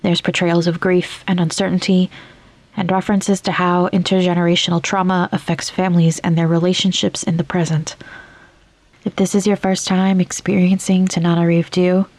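A woman hosting a podcast, reading a scripted introduction in a measured, articulate way. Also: on the low side, a little mellow, dry recording. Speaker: podcast host.

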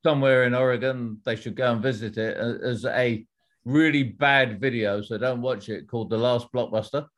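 Middle-aged guy, ex-exec answering questions, steady and explanatory, restarts a lot. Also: medium pitch. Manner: measured, explanatory, with frequent restarts. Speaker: former executive, author. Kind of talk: interview answers.

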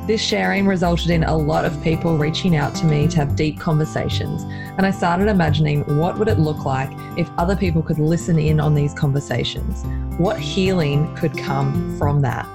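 A woman hosting a podcast, speaking earnestly and reflectively, a little croaky in the early morning. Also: sits low, moderate pace, music in the background. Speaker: podcast host. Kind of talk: scripted intro then casual chat.

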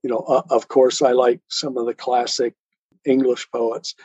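A man's voice: mid-range voice, little pitch movement, moderate pace, a little mellow, slightly rough, dry recording. A man answering as an interview guest in a conversational, earnest and explanatory way, on a lo-fi recording. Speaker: interview guest, poet and author. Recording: dull lo-fi sound.

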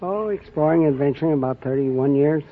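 A man's voice: fairly high pitch, fairly quick. A middle-aged or older man from Virginia answering as a quiz show contestant with folksy good humour. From Virginia, United States. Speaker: contestant, explorer. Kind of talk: live quiz show interview. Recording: muffled noisy old radio recording. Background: studio audience.

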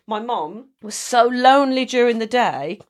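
A woman from the English West Midlands chatting casually as a comedian, telling stories in an animated, sweary way. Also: fairly high pitch, normal speed. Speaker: stand-up comedian being interviewed. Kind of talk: casual chat with anecdotes.

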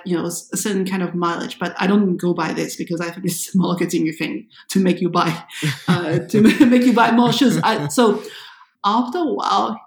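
A woman's voice: medium pitch, a little mellow, reverberant. A woman from France talking casually as a podcast co-host. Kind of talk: casual conversation.

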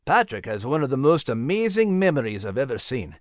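A man voicing a genial, even-handed husband and father in a storybook, with a diplomatic manner. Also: medium pitch, a little fast, dry recording, clean studio sound, close to an everyday voice. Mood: proud.

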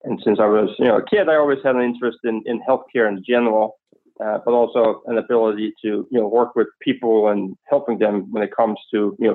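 Danish-accented man on a tinny phone line. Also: on the low side. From Denmark. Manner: fluent, many "you know" fillers. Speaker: guest. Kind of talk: podcast interview.

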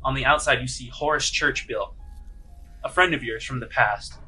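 A man narrating a tabletop game as game master, improvising descriptions in a conversational, halting way. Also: mid-range voice, sharp tone, faint music behind.

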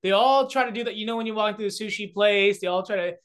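A man's voice: sits high, quick pace, clear, resonant tone. A young man from South Korea, a comedian guest chatting casually and acting out little voices. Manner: animated, many restarts and fillers, acts out voices. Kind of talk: casual chat.

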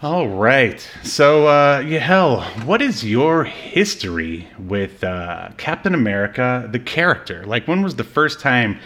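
A man's voice: mid-range voice, very animated, a little slow, few pauses, fairly bright tone, slight background noise. A man hosting a podcast in casual chat, rambling and joking with frequent hesitations. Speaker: podcast host. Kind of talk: casual chat about an old superhero movie.

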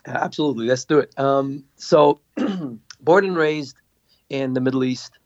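A man from Lebanon, a guest on a podcast, telling his story in a lively way and acting out what others said. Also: medium pitch, unhurried, no echo, lo-fi sound. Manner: animated storyteller, acts out quotes. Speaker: guest, singer and producer. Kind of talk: casual interview storytelling.

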